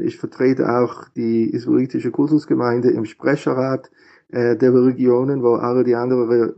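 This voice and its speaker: A man's voice: mid-range voice, vocal fry, warm tone, highs cut off. A middle-aged man from Philadelphia with an American accent, a rabbi answering interview questions in a hesitant way, with many fillers and restarts. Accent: American English. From USA, Philadelphia. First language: English. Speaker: rabbi, guest.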